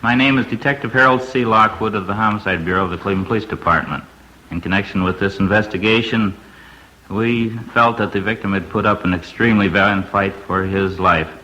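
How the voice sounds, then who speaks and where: A man's voice: on the low side, brisk, bright, forceful tone, rough recording. An American man, a police detective, reading a formal statement in a stiff, official way. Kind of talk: formal prepared witness statement. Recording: old radio recording, faint noise.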